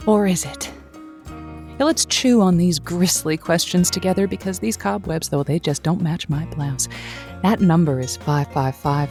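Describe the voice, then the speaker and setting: An actress playing a wry late-night radio advice host with a slow, sultry on-air delivery. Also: female voice, on the low side, a little mellow.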